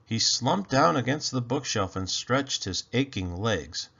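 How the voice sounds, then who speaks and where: A man with a gravelly, husky voice narrating a children's story in an expressive read-aloud style. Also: mid-range voice, a little slow, lo-fi sound. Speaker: storybook reader.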